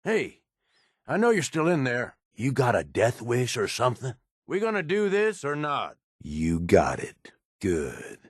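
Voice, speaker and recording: An actor playing a blunt, pushy, cunning raider schemer in a video game, with a streetwise American manner of speech. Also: male voice, mid-range voice, huge pitch swings, measured pace, breathy edge, no echo, studio quality, heavily acted. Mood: impatient, commanding, then wry, pushy. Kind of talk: video game character dialogue.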